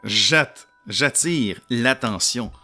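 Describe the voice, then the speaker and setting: A man with a Québécois accent narrating a solo tabletop roleplaying game in an animated, jokey way and talking to his audience. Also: mid-range voice, extremely slow, faint music behind. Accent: Québécois French.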